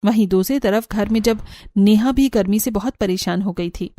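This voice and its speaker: A woman narrating an animated story from a script, with music underneath. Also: mid-range voice, moderate pace, no echo, studio quality.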